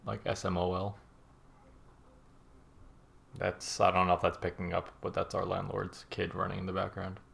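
A man co-hosting a casual chat podcast, teasing his co-host in quick banter. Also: sits low, completely flat delivery, vocal fry, fairly bright tone.

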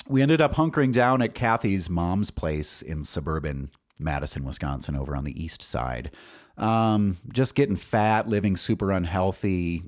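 Deep-voiced American man telling personal stories. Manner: halting, rambling, informal with casual swearing. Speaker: podcast host. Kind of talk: casual personal storytelling, monologue.

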